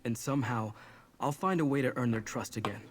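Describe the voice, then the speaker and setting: A man's voice: medium pitch, fairly quick, slight background noise, close to an everyday voice. An actor playing an earnest, apologetic young man burdened with guilt in a video game. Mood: resolute, regretful.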